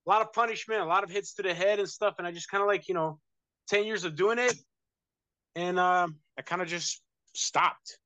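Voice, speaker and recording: Male interview guest, American, rambling casual delivery, fairly high voice. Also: brisk, sharp tone, a little hiss or hum, rough recording. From United States. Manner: rambling, many fillers and restarts. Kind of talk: casual conversation.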